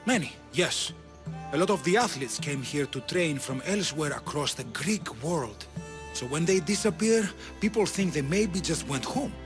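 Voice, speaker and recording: Guy acting as caring flirty caretaker, kinda high, really animated, music behind, game cutscene. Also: normal speed, natural voice. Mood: earnest.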